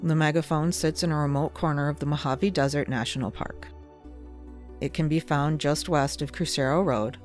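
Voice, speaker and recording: A documentary narrator reads from a script with a mid-pitched voice. The delivery is measured and explanatory. Soft ambient chill-out music plays behind the voice.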